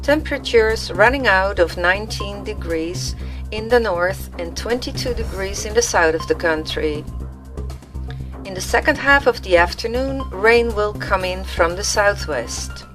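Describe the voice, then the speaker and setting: Woman playing a slow, formal news anchorman over music. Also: medium pitch, lively intonation, close to an everyday voice. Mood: formal, announcing. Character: well-dressed male TV news anchorman reading headlines. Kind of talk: news broadcast reading in a radio play. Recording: music laid under the voice.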